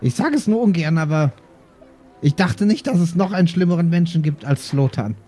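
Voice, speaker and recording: A man's voice: a little high, expressive, a little fast, lightly acted. A man playing a dry, grumbling spectator with an understated manner in an improvised audio play, recorded with background noise. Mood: dry, sardonic.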